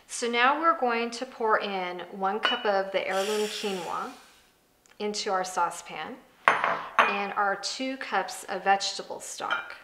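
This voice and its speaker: A woman in her thirties with an American accent, explaining a recipe step by step in a conversational way as a cooking show host. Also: medium pitch. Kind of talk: instructional cooking demonstration. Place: home kitchen, indoors. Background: background music.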